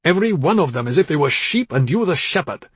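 A man narrator voices a proud old father in an audiobook, stern and unforgiving. He speaks in a very husky voice with commanding, accusatory force. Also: a little high, sing-song, fast talker, no room sound, voice pushed up, exaggerated voice. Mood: bitter, accusing.

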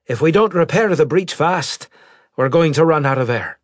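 A man voicing a brash, excitable male ace pilot in an audiobook, with cheeky, urgent military talk. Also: fairly high pitch, moderate pace, no echo, clean studio sound, voice pushed up. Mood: urgent, determined. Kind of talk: audiobook character acting.

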